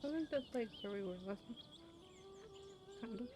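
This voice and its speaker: A woman's voice: fairly high pitch, expressive, moderate pace, background noise. A woman streaming a video game with casual, offhand live commentary. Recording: game music behind the voice.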